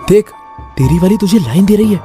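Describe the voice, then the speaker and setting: A man plays a cheeky young college guy in a horror cartoon, goading and teasing. The pitch is high and swings a lot, with music behind. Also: animated, a little fast.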